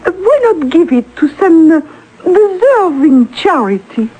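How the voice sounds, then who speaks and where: An actress in an old-time radio drama playing a grand, calculating Parisian madame, theatrical and coyly insinuating. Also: female voice, very high-pitched, sing-song, measured pace, mellow, heavily acted, poor audio. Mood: breezily grand. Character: grand, calculating Parisian madame feigning grief over a painter. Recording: vintage radio drama recording.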